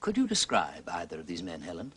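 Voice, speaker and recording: An actor playing a genial aristocratic detective in a period drama, urbane and effusively polite, with an upper-class English accent. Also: male voice, low-pitched, huge pitch swings, brisk, a bit bright, a little hiss or hum, exaggerated voice. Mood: reassuring, probing.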